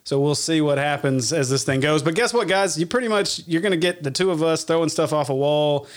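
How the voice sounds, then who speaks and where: A man's voice: a little high, a little fast. A man hosting a casual podcast from a small home studio, laughing often and swearing freely. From Arkansas, USA. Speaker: podcast host.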